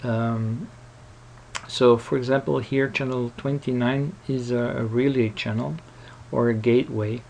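A man presenting a casual, unscripted software tutorial in a hesitant, rambling manner. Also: medium pitch, fairly even intonation, unhurried, gravelly, slight background noise. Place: voice-over recorded at a computer.

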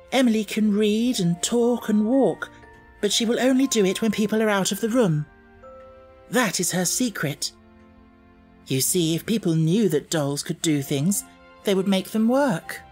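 A man voicing a polite, imaginative little girl in an audiobook, gentle and earnest in manner. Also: sits high, voice pushed up. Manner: gentle, appreciative, precise. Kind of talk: audiobook character voice.

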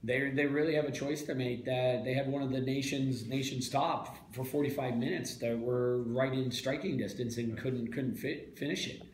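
Middle-aged male coach, halting, repeats words, roomy press-room sound, faint hiss. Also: medium pitch, steady tone, a little mellow. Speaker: head coach. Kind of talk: postgame press interview. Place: small press room, indoors.

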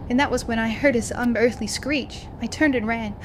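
A woman's voice: on the high side, moderate pace, noisy background, close to an everyday voice. A woman playing a young student confiding a horror story to her video diary in a dramatic way. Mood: panicked, breathless. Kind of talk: first-person horror audio diary.

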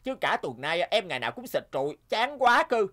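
A man voicing an eager young gambling sidekick in a cartoon, wheedling and flattering. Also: high voice, fairly quick, full character voice. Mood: pleading.

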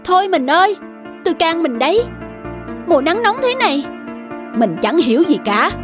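A woman's voice: very high voice, very animated, moderate pace, music in the background. A woman playing a sensible, scolding village wife in a cartoon folk tale, with a reproachful, lecturing manner. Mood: worried.

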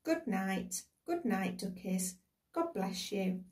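A cheerful, fond father of genteel manners, as a woman reader plays him in a storybook. He sounds mellow and reassuring, recorded slightly far from the microphone. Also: mid-range voice, expressive, slow. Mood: fond farewell. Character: affectionate, cheerful gentleman father soothing his children.

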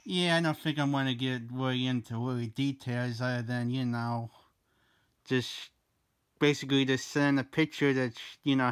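Smooth-voiced easygoing man. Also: medium pitch, a bit bright. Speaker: video host. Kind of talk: casual chat over push-to-talk app.

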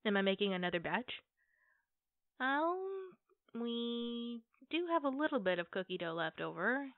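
A woman playing an affectionate, playful girlfriend in a casual, conversational ASMR roleplay, with hesitant pauses. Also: a little high, sing-song, dry recording. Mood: cheerful, casual. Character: affectionate, playful girlfriend baking cookies at home. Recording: tinny, phone-like band-limited audio.

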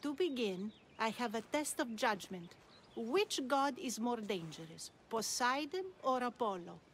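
A sly mysterious older-sister type in a game, poised and teasing, a bit high, noisy background. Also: female voice, expressive, measured pace, fairly bright tone. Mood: challenging. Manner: formal, teasing, self-assured. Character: poised, cunning middle-aged mysterious female quest giver. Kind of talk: video game cutscene acting.

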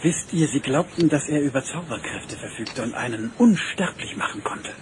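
Male actor as patient archaeologist uncle; explains calmly, slightly raised pitch, faint noise. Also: moderate pace, close to an everyday voice. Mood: explaining. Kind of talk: German radio play dialogue.

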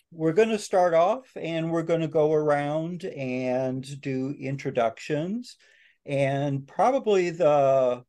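Slow, mellow man in his 60s, easygoing podcast host on a video call. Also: medium pitch. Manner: easygoing, joking, with restarts. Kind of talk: casual panel discussion. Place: home office, video call. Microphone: webcam or computer mic on video call.

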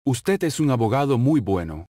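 A man reading short phrases for a language lesson, saying each one slowly and clearly and then repeating it. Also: mid-range voice, sing-song, dark tone, dry recording. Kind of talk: slow, carefully enunciated drill reading. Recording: dry, close studio voice-over.

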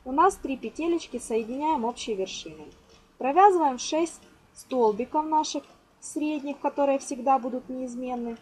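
High, lively woman giving a tutorial. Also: normal speed, rough recording. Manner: instructional, counts aloud, uses affectionate diminutives. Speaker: craft tutorial host. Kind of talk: step-by-step crochet lesson, informal narration. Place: indoors at a table. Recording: clear background noise, amateur home recording.

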